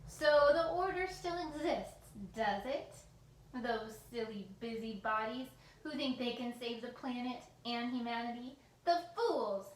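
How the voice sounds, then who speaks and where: A woman voicing a furious, scheming male villain scientist who yells and cackles at a computer. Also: fairly high pitch, lively intonation, measured pace, slight background noise. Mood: gloating. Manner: yelling, cackling, turns syrupy. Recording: roomy echo with faint ambience.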